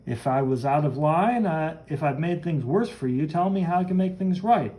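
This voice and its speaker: Young comic-book hero read by a man: cocky, chivalrous, dramatic, animated delivery. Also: a little high, moderate pace, pitched above the performer's own voice, lightly acted. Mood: earnest. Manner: brash, dramatic, read-aloud comic narration. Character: cocky, chivalrous young male superhero who wields flame.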